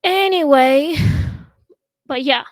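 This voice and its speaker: Slow, high-voiced woman in her thirties. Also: no room sound, clean studio sound. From Russia. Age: 30s. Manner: slow, halting, with many pauses and fillers. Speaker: host. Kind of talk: casual chat. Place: at home.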